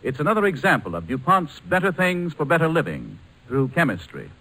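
A man's voice: a little high, huge pitch swings, brisk, bright, forceful tone, low-quality recording. A man with an American accent reading a scripted 1940s radio advertisement as a commercial announcer.

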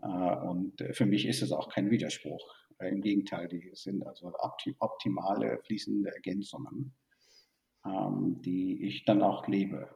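A man's voice: sits low, fairly even intonation, a little slow, creaky, dark tone, far from the mic. A man from Burma, now living in Germany, speaking as an interview guest in a reflective, hesitant way with many fillers. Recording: echoey room, off-mic.

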